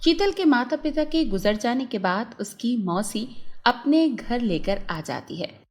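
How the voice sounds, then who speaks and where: A woman narrating a children's cartoon story in an expressive storytelling manner. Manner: slow, lively-pitched storytelling.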